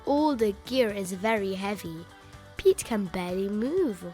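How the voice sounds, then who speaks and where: A woman reading a children's picture book aloud in an expressive, emphatic way, stretching out key words. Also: mid-range voice, measured pace. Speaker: children's story reader. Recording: music bed behind the voice.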